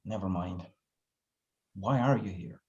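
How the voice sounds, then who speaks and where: An actor playing an awkward, sarcastic, lovesick young man in a screenplay table read, with halting, stammering delivery. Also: low-pitched, a little mellow, muffled recording. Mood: concerned.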